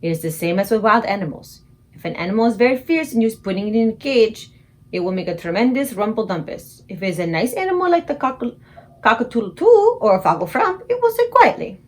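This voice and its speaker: A woman's voice: mid-range voice, lightly acted. A woman putting on a fussing kindly old giant. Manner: mangled grammar, invented words, exclamatory. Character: excitable old male giant, kindly, garbled speech.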